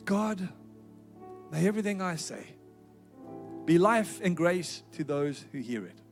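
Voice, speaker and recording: A man's voice: high-pitched, lively intonation, a little slow, gravelly, faint music behind. A South African man preaching a sermon with a South African accent, in an earnest, emphatic exhorting manner. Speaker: pastor.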